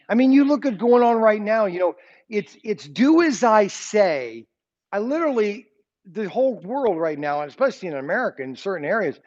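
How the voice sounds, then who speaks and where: High, lively man in his sixties. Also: highs cut off. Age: 60s. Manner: rambling, keeps checking in with "okay?". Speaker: Sunday school teacher. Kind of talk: informal Bible lesson.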